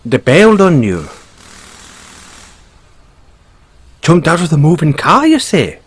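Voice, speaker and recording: A man acts out a curious Irish bunny-boy mechanic from an audio roleplay. His pitch swings widely as he talks, and the voice has a slightly husky edge. He is polite and gently nosy, picking his words with wry understatement. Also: huge pitch swings, moderate pace, no echo, full character voice. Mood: surprised, sympathetic. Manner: gently probing, wry understatement, hesitant phrasing.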